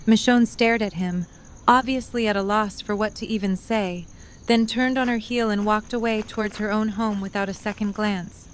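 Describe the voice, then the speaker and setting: A woman's voice: medium pitch, steady tone, moderate pace, a little hiss or hum. A woman reading scripted story narration for an audio drama.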